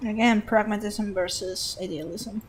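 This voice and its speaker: A woman's voice: medium pitch, fairly even intonation, moderate pace, noisy background. A woman streamer making dry, offhand remarks while she plays a video game. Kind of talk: casual gameplay commentary. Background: game music.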